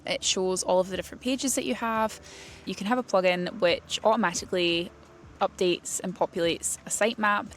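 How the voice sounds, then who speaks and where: A woman's voice: on the low side, gravelly. A woman presenting an informal instructional explainer in a plain-spoken, explanatory way. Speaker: presenter of a website tutorial series for writers.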